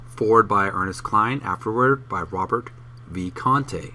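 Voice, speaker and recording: A man reading a book aloud for his video channel in an emphatic, deliberate way, occasionally stumbling over words. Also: lowish voice, bright, forceful tone, a little hiss or hum.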